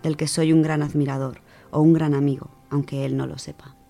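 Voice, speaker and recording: A woman's voice: deep voice, little pitch movement, a little fast, creaky, loud music behind the voice. A woman with a Spanish accent from Spain, chatting casually as a contributor to a radio book segment. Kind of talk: casual chat.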